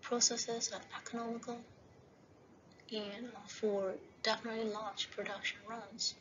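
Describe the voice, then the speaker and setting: A woman gives a recorded university lecture over slides, often checking in with "right?" and slowing down to read out each heading. Her delivery is flat and steady. The recording sounds muffled and lo-fi, with a faint hiss behind her. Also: on the high side. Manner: repeats "right?" and "okay?" as checks.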